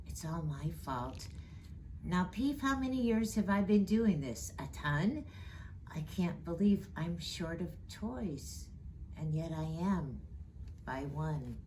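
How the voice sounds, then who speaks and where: A woman voicing a kindly, fatherly old man in a children's storybook, speaking gently and earnestly over background noise. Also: fairly low pitch, animated, slow pace. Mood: rueful. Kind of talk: children's storybook character dialogue.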